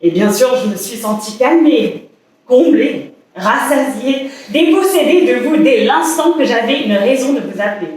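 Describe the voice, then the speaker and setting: An actress playing a lovesick, obsessive woman, delivering a poetic and halting theatrical monologue in a staged reading. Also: fairly high pitch, expressive, darkish tone, close to an everyday voice. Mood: nostalgic, intense. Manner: dramatic, halting, incantatory delivery with repetitions. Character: lovesick woman consumed by dangerous obsession, anguished, insistent. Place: staged reading in a reverberant indoor space. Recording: very echoey room, far from mic.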